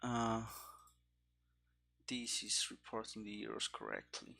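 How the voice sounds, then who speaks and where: Guy muttering thru his code, halting bits, lots of vocal fry, kinda bright sound. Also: lowish voice, big pitch swings. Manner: halting, muttering to himself in short fragments. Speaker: game developer. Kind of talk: live coding commentary, thinking aloud.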